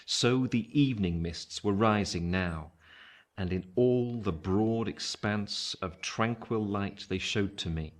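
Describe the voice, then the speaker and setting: Man, low-pitched: reflective older gentleman narrating his life in a literary radio drama, measured and wistful. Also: a little hiss or hum.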